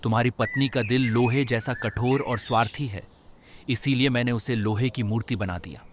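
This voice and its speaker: A man voicing a calm, stern talking rabbit who is a reborn saint, in a solemn, measured way for a Hindi cartoon. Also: mid-range voice, slight background noise, close to an everyday voice. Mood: solemn, commanding. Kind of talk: Hindi animated moral story dialogue.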